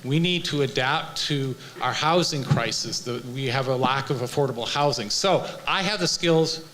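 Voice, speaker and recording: A man who sits on the city council, speaking at a candidate forum with frequent pauses and restarts. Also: medium pitch, fairly bright tone, slight background noise. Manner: hesitant, many uhs and restarts. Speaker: incumbent city council member. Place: senior community center.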